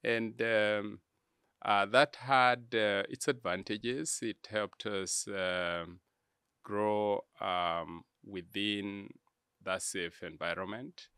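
A man with a Kenyan accent answering interview questions in a measured, halting, deliberate way. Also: fairly low pitch, fairly even intonation, pushed and bright, smooth, no room sound, clean studio sound. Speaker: interview guest, church media executive. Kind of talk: interview conversation, reflective answers.

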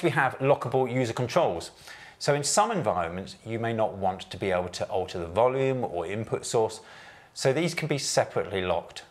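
A man in his thirties giving a methodical, scripted walkthrough of a product's menu settings, recorded in an office meeting room on a lapel mic. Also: fairly low pitch. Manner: measured, methodical, technical explanations. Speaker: product presenter.

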